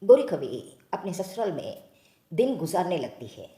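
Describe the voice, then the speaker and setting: A woman's voice: fairly low pitch, sing-song, normal speed, warm tone, roomy sound. A woman reading scripted narration for a children's-style cartoon story.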